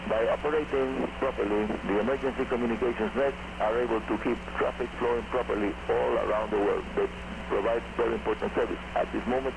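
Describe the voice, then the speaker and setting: Man, radio host, low gravelly voice, loud shortwave static hiss. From Cuba. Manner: technical, reads at a quick steady clip. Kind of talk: scripted shortwave radio broadcast. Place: radio studio, heard over shortwave. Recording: noisy shortwave radio reception.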